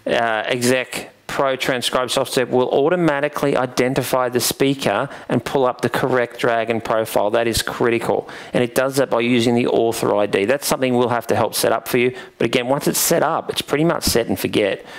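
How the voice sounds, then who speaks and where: A man, giving an informal software tutorial into a headset microphone. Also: medium pitch, fairly even intonation, fairly quick, hardly any pauses. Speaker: presenter, software vendor demonstrating a product. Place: indoors, presenting at a computer.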